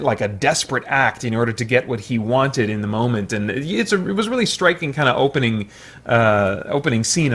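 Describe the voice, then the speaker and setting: Man, mid-pitched voice, film reviewer, casual and unscripted, hesitant, rambling, restarts sentences often. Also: fairly bright tone. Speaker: movie reviewer, video host.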